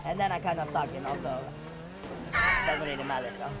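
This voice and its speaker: Squeaky, high-pitched yelling man. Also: fairly quick, music in the background. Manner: animated, rambling with many fillers. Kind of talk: in-character roleplay chat.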